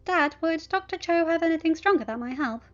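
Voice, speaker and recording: A woman's voice: very high voice, lively intonation, a little fast, pitched above the performer's own voice. A woman voicing a polite, earnest, slightly awkward teenage boy in a story reading, speaking respectfully and candidly with some hesitation. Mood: sore, subdued. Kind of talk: audiobook character voice for fan fiction. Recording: dull lo-fi home recording.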